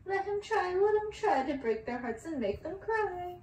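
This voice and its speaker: Woman putting on a high, taunting witch, roomy. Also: very animated, normal speed, darkish tone. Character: cackling, mocking witch sisters, spiteful and possessive. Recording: distant roomy mic with background hiss.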